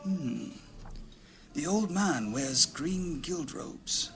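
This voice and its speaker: An actor playing a solemn, commanding lord in a fantasy audio drama, formal and portentous in delivery. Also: male voice, on the high side, animated, extremely slow, music in the background. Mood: solemn wonder. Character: solemn, commanding lord, observant ghostly time traveller.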